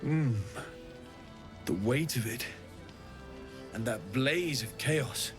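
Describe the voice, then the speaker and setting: Friendly male weaponsmith, inquisitive, expressive, medium pitch, fantasy game dialogue, prominent background music. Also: extremely slow. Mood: fascinated. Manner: curious, expressive, frank. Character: keen, friendly male infernal mechanic and weaponsmith. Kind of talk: video game character acting. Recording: game audio with music behind.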